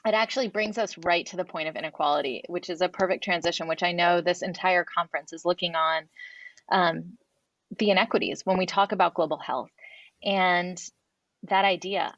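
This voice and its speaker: A woman's voice: lowish voice, fairly even intonation, fast talker, fairly bright tone, no room sound, lo-fi sound. A woman moderating a panel who asks encouraging, personal questions.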